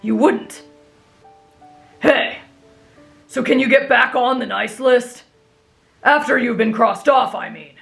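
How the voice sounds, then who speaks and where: Woman doing a gravelly, slow-talking wild boy. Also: a little high, fairly bright tone, soft background music, full character voice. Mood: blunt, curious. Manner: blunt, childlike, stubborn. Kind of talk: anime fan roleplay audio drama.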